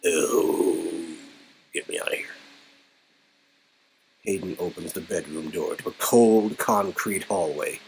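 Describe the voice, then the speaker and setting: A man's voice: low voice, steady tone, slow, vocal fry, pauses often, darkish tone, a little hiss or hum, poor audio. A man reading a story game aloud in a casual, wry way, mixing dramatic narration with informal banter. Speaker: reader performing a story game.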